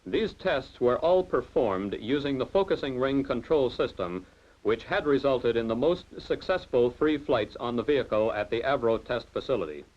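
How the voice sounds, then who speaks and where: Guy reading formal technical narration, steady and measured, muffled old film sound. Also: medium pitch. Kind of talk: scripted documentary narration.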